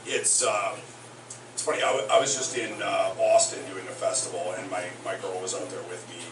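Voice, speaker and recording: Man, touring songwriter, halting stage banter; roomy distant live recording with heavy noise. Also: low-pitched, little pitch movement. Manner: rambling, halting, many fillers. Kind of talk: casual onstage chat between songs. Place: concert house, live in front of an audience.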